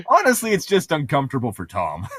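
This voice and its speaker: A husky voice with a lively, expressive swing, recorded close and dry. The delivery is casual and teasing, moving between reading aloud and joking commentary. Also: mid-range voice, a little fast, no echo. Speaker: podcast co-host reading a novel aloud with commentary.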